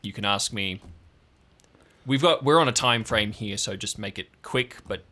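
Stern female ranger boss, performed by a man, blunt and commanding, forceful edge, improvised audio drama. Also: fairly low pitch, moderate pace. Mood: guarded, conceding. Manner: abrupt, firm, authoritative.

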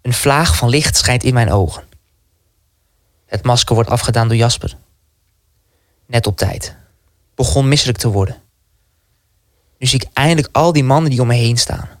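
A man's voice: mid-range voice, moderate pace, long pauses, no echo, studio quality, close to an everyday voice. A man acting an anxious, defiant young resistance recruit in a dramatic first-person radio play. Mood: relieved.

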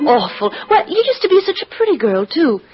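A woman's voice: high voice, very animated, brisk, faint music behind. An actress playing a blunt, scolding, nosy woman friend in an old-time radio drama. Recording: muffled old radio recording.

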